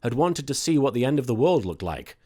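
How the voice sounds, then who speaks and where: A man's voice: mid-range voice, very animated, a little fast, warm tone, slightly rough. A man hosting and narrating a scripted comic story, with some casual introductory talk. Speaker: host and fan fiction reader.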